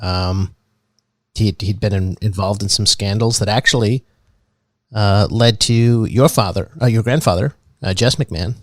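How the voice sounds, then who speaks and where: Male comedy host, mock public-radio narrator, lowish voice, dry close sound, earnest and halting. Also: no echo. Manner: earnest, halting, with many restarts.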